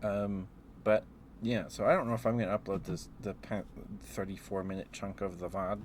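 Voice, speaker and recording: A man hosting a casual ASMR livestream, chatting to viewers in a gentle, apologetic, rambling way. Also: lowish voice, flat delivery, moderate pace. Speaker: livestream host. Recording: clearly noisy background.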